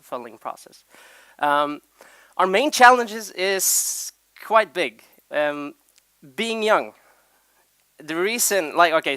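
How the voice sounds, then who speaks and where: Young man, slightly higher voice, smooth tone, casual joking talk on stage. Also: bright, forceful tone, no room sound. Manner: loose, joking, chatty. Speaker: program lead presenting at event.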